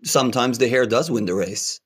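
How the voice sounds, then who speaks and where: Deadpan male podcast host. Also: mid-range voice, a little fast, breathy edge. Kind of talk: comedy podcast chat.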